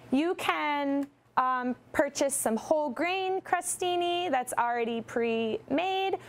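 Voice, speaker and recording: A young woman presenting a cooking demonstration in a large studio kitchen, explaining each step steadily with a few ums. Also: high-pitched. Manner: instructive, steady, with occasional ums. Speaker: nutrition segment presenter.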